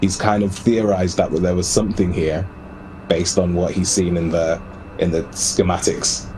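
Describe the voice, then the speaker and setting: A man's voice: low-pitched, flat delivery, normal speed, a little mellow, a little hiss or hum, low-quality recording. A man chatting casually as a player in a tabletop roleplaying game.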